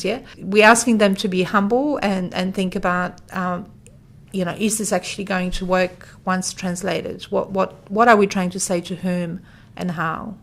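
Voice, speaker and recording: A woman whose first language is Polish, explaining things plainly in a conversational interview. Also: on the low side. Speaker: interviewee, translation specialist.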